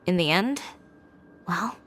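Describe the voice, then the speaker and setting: A woman's voice: lowish voice, expressive, takes it slowly, fairly bright tone, slight background noise. A woman playing a composed, confident young fashion designer with a cool, matter-of-fact manner in game dialogue. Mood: reflective, resolute. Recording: music behind the voice.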